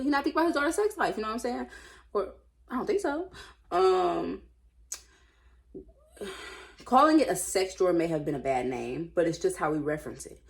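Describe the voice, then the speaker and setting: Low-voiced woman, casual and playful. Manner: playful, animated reactions, slangy. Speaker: host reading Reddit stories. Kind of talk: casual read-aloud with chatty off-the-cuff commentary.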